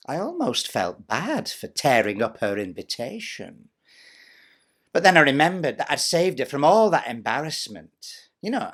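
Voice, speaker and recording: A man's voice: medium pitch, a bit bright. Man playing a theatrical, vain pantomime ugly stepsister. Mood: self-satisfied. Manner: self-satisfied, exaggerated, chatty. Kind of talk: comic pantomime storytelling monologue.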